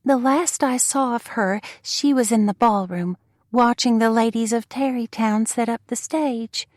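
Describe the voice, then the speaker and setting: A woman putting on a coy spinster with a nasal drawl, pitched high and stretched slow. She gushes and flirts in a storybook reading, dry and close. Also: no room sound, lightly acted.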